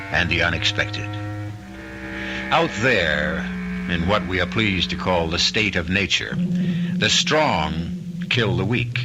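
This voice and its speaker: A man hosting and narrating an old-time radio mystery with dramatic, deliberate phrasing. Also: low voice. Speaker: host and narrator.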